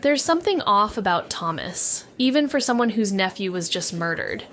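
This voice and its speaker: A woman's voice: mid-range voice, lively intonation, normal speed, a bit bright, some chatter behind. A young woman playing a cautious, anxious former child detective who narrates a noir audio drama. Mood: tense, brooding. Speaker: narrator and lead actor.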